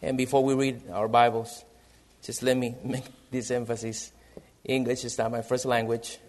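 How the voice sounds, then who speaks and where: Man, Salvadoran Spanish accent, missionary pastor, earnest, measured delivery. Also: mid-range voice, flat delivery, a little mellow. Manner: earnest, measured, reads from prepared notes. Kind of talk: missionary church presentation and sermon.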